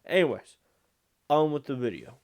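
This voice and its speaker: A man's voice: mid-range voice, sing-song, moderate pace, a little mellow. A young man hosting a reaction video, chatting casually to camera while speaking stiffly through a sore tongue. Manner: chatty, laid-back.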